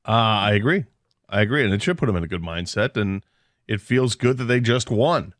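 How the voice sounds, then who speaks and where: A man's voice: fairly low pitch, animated, a little fast, a bit bright, no echo, clean studio sound. A man co-hosting a sports radio show, breaking down a football game in a casual, analytical way. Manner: analytical, confident picks. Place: radio studio.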